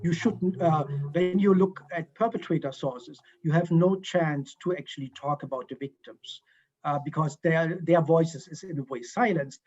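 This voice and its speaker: A man with a German accent answers questions over a video call, choosing his words slowly and filling pauses with ums and uhs. His voice sits a little high. The call audio is dull and muffled. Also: a little mellow. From East Germany. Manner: halting, many ums and uhs, restarts. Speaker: guest historian.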